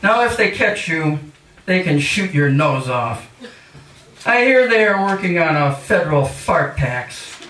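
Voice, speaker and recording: An older man reading poetry live and chatting between pieces with wry, mock-highbrow humour. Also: lively intonation, measured pace, sharp tone. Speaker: featured poet at a reading. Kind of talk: live poetry reading with casual rambling introductions. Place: indoor cafe poetry reading. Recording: echoey room, distant mic, hissy noise.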